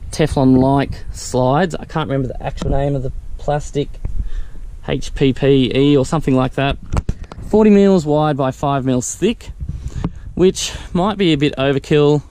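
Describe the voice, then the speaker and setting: A man casually walking through his vehicle camping setup, explaining in a relaxed, practical way with fillers. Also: a little high. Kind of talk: casual walkthrough vlog. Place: outdoors beside a vehicle in a grassy field. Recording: faint wind noise outdoors.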